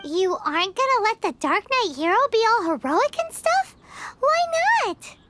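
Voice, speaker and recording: A woman voicing a tiny, excitable childlike fairy companion in a video game, with a squeaky, exaggerated delivery. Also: normal speed, background noise. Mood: sceptical. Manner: exaggerated, speaks of self in third person. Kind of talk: animated game voice acting. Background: game music.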